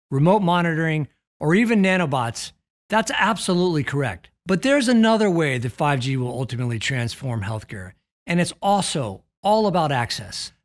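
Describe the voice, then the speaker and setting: A middle-aged American man giving a scripted keynote in a measured, emphatic corporate manner. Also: fairly high pitch. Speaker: telecom executive giving a keynote. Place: indoor studio with plain backdrop.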